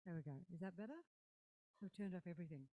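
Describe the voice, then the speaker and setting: A woman MCing a conference, chatty, loud and joking while she makes announcements. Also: lowish voice, fast talker. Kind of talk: casual announcements. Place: conference room.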